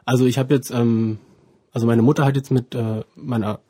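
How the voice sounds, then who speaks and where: Husky young man, hesitant speaker. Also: medium pitch, fairly even intonation, moderate pace, dark tone, dry recording, clean studio sound. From Ukraine, then Germany from about age seven. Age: 20s. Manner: hesitant, searching for words, self-correcting. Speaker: interview guest, student. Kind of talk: informal personal interview answers.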